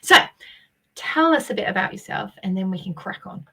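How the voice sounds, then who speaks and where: A woman's voice: medium pitch, lively intonation, moderate pace, vocal fry, a bit bright, breathy edge. A woman hosting a live-streamed interview, talking in an enthusiastic, bubbly and emphatic way. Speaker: host.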